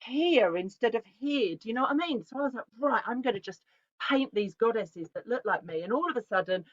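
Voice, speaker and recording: A woman, giving a chatty, animated presentation that often breaks off and restarts itself. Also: a little high, fairly quick, no echo. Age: 40s. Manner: chatty, animated, self-interrupting. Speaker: artist giving a talk. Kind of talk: casual conference presentation. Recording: dull, lo-fi video call audio.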